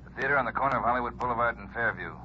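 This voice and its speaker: An actor playing a brisk, commanding chief of detectives in a 1940s radio drama. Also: male voice, medium pitch, close to an everyday voice. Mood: urgent, commanding. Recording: muffled, noisy 1940s radio recording.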